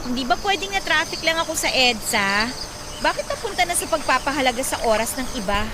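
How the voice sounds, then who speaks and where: An actress playing a hot-headed, defensive young daughter and band musician in a Tagalog radio drama, speaking emotionally. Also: female voice, high-pitched, sing-song, a little fast, very noisy. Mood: defensive, irritated. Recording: loud music under the dialogue.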